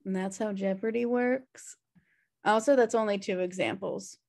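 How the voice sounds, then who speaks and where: A woman's voice: mid-range voice, steady tone, normal speed, vocal fry, darkish tone, natural voice. A woman reading the part of a sardonic, wisecracking slacker sister in a sitcom table read over a video call. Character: flippant, sardonic slacker sister, adult twin. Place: indoor room at home on video call.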